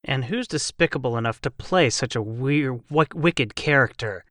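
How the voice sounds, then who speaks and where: A man's voice: mid-range voice, normal speed, a bit bright, dry recording, studio quality. A man chatting casually and informally as a voice actor in a cast discussion, with a joking manner. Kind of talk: casual chat.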